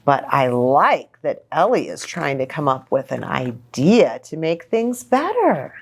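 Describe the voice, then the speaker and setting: A woman reading a children's storybook aloud, expressive and sympathetic, with simple asides to young listeners. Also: low voice, a little slow, gravelly, husky.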